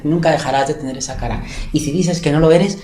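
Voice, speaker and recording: A man's voice: on the high side, fast, mellow. A man from Peru, a guest in a conversational interview, speaking earnestly and emphatically in long, building lists. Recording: echoey room.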